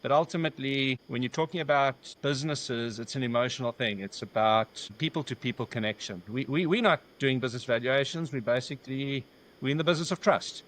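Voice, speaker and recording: A man with a South African accent, a company founder chatting as a podcast guest in a warm, joking way. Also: medium pitch, brisk, low-quality recording. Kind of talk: casual interview chat.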